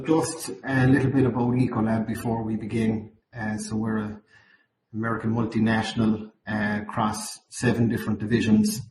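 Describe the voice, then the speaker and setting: Irish male webinar presenter, slightly croaky, monotone, recorded in an echoey space with faint noise. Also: lowish voice, normal speed. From Ireland. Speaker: account manager presenting at a webinar. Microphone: video call. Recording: roomy, noisy webinar call audio.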